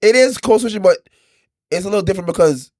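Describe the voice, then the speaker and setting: A man's voice: sits high, fast talker, darkish tone, no room sound, clean studio sound. An American man co-hosting a podcast with playful, casual banter and frequent interjections. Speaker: podcast co-host.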